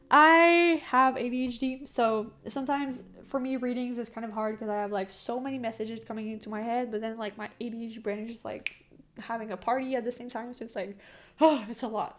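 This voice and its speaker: A young woman from Quebec with a Québécois French accent, speaking English as her second language. She chats to camera in a fast, excitable, rambling way, with lots of fillers and restarts as she stumbles over words. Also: fairly high pitch. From Quebec, Canada. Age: young adult. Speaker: tarot content creator. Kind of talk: casual chat to camera.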